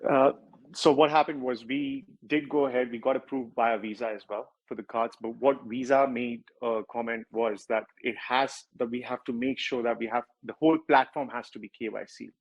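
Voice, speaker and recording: A man's voice: mid-range voice, steady tone, normal speed, lo-fi sound. A man with a strong Indian accent, a project founder answering questions in a livestreamed interview, talking at length and often correcting himself. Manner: rambling, self-correcting, with many filler words.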